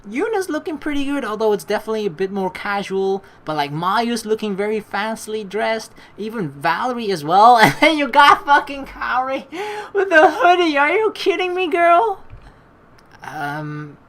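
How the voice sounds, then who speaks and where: A woman's voice: fairly high pitch, big pitch swings, moderate pace, a bit bright. A young woman hosting a let's play, teasing and slangy as she mixes casual commentary with reading the game's narration aloud. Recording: faint game music behind the voice.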